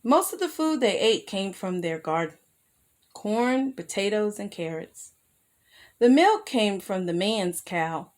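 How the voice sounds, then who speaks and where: A woman reading a children's picture book aloud as a storyteller, expressive and careful, with asides to her young listeners. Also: medium pitch, slow. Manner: addresses young listeners with simple questions.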